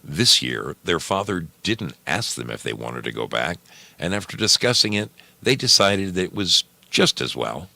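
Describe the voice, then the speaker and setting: Very deep, gravelly man telling a story. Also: sing-song, sharp tone, no room sound. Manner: measured, dramatic phrasing. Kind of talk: expressive audiobook-style story narration.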